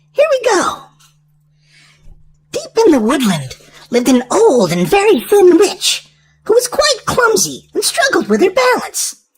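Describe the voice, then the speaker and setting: A woman reading a storybook aloud to young children in an animated, sing-song way. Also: high voice. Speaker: children's storytime host and reader.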